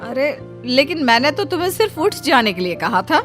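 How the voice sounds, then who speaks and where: Woman playing an animated, coaxing motherly mother-in-law. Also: sits high, brisk, over music, voice pushed up. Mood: taken aback. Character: affectionate middle-aged mother-in-law, gentle, easily taken aback. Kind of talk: cartoon family drama acting.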